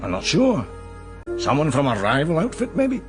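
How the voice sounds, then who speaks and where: A man's voice: fairly high pitch, wildly swooping pitch, moderate pace, faint music behind. A man acting a courteous, shrewd London investigator with a British accent in a radio drama, polite and insinuating. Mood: probing, smooth.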